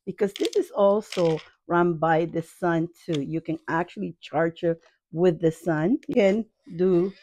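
Female vlogger, middle-aged, lowish pitch, casual review chat, playful and repetitive. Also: a little slow, warm tone, a little hiss or hum. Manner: playful, addresses viewers affectionately, repeats phrases. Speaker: video host reviewing products. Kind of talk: casual chatty product review. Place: small cluttered room indoors.

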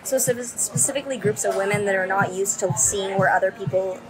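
A woman's voice: medium pitch, rough recording. Candid young woman vlogging with music behind. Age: young adult. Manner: candid, swears freely, drawn-out casual fillers. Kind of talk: casual vlog chat to camera. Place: holiday villa, indoors and on sunny terraces. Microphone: handheld phone at arm's length.

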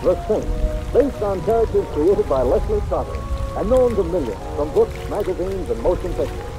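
A man's voice: sits high, sing-song, moderate pace, low-quality recording. A man introducing a show in the style of 1950s American radio. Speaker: radio announcer. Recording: vintage radio recording, loud music, rain.

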